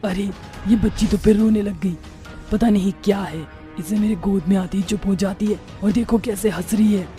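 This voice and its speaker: A woman's voice: mid-range voice, steady tone, moderate pace, over music, close to an everyday voice. A woman voicing a man-eating witch turned doting mother in a Hindi cartoon story, exclaiming and talking to herself expressively. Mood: exasperated, softening.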